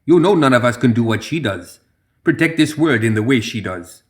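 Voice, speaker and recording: A man's voice: mid-range voice, animated, normal speed, close to an everyday voice. Man voicing a sly, cruel young sorceress. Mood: knowing, earnest. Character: sly, playfully cruel supernatural young woman.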